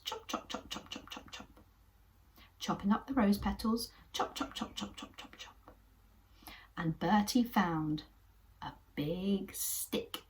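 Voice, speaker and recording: A young English woman hosting a children's puppet show, telling a story in a gentle, encouraging way. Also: medium pitch. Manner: gentle, encouraging, sometimes whispery narration.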